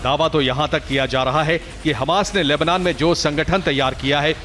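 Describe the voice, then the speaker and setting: High-voiced man narrating dramatic news. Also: fairly even intonation, moderate pace. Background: prominent music.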